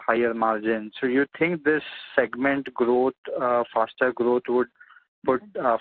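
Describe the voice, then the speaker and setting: A man's voice: medium pitch, no room sound. A man working as a financial analyst, putting questions on an earnings call in hesitant, piecemeal phrases. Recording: dry narrowband phone line.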